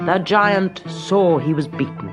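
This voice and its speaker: A storyteller reading a scripted children's tale in a dramatic, measured way. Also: medium pitch, loud music behind the voice.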